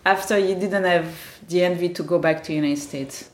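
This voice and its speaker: Low-voiced woman asking gentle questions. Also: steady tone, moderate pace, roomy sound. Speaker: interviewer. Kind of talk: oral history interview.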